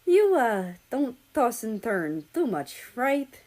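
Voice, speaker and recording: A woman's voice: on the high side, very animated, slow pace, darkish tone, pitched above the performer's own voice, exaggerated voice. A woman voicing a smug, playful male travel companion in dialogue from a story reading. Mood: playfully wary.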